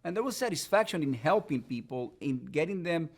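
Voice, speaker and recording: A man's voice: a little high, animated, gravelly. A man from Puerto Rico, a Spanish native speaker with a Puerto Rican accent, talking earnestly and reflectively as an attorney guest on a podcast. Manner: reflective, earnest storytelling with pauses. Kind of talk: conversational podcast interview.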